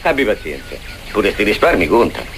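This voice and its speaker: An actor playing an earnest ancient who explains and insists, in a comic radio play. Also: male voice, lowish voice, sing-song, a little fast, fairly bright tone, slight background noise. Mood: insistent.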